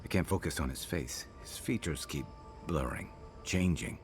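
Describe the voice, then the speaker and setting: An actor playing a weary, loyal, regretful companion in a video game, speaking in an earnest, deliberate, reflective way. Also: male voice, a bass voice, soft background music. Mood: troubled, wistful. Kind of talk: video game dramatic dialogue.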